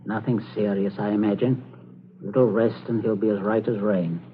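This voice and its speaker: A man's voice: fairly low pitch, steady tone, moderate pace, lightly acted. An actor playing a middle-aged, domineering and falsely genial father in a polite, theatrical 1940s radio suspense style. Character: domineering, suavely genial, scheming English father. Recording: scratchy, narrow-band 1940s radio recording.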